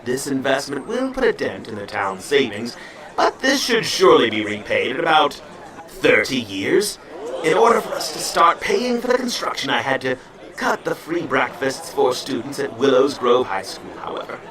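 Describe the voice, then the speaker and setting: A man's voice: medium pitch, very animated, moderate pace, a crowd in the background, lightly acted. Man acting a pompous mayor in echoey distance. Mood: self-satisfied. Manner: pompous, formal, theatrical. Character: pompous, theatrical small-town male mayor. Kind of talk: amateur audio drama, public speech. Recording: echoey, distant, with music behind.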